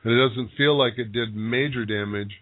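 Halting man with a tinny radio sound. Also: mid-range voice, no echo. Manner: halting, searching, many restarts. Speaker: psychic medium, show regular. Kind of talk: live call-in radio reading. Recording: dry, narrow phone-like broadcast sound.

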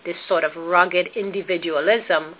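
A woman's voice: on the low side, steady tone, measured pace, slight background noise. A woman from Trinidad and Tobago with a Trinidadian accent, a guest answering interview questions thoughtfully, with many ums and self-corrections. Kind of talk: interview conversation. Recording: phone-like narrow audio.

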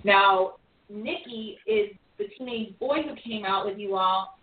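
A woman hosting a casual recap show, chatting and teasing callers. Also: medium pitch. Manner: chatty, teasing, quick asides. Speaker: host. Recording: echoey, tinny phone line with faint noise.

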